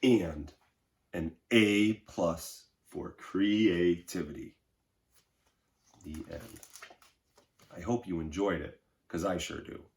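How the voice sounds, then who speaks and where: A man's voice: low-pitched, slow, pauses often, darkish tone. A man speaking as a schoolteacher to children, reading a story aloud and explaining in an encouraging, instructive way. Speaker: elementary school teacher. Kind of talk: read-aloud and lesson for children. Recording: roomy, echoey indoor sound.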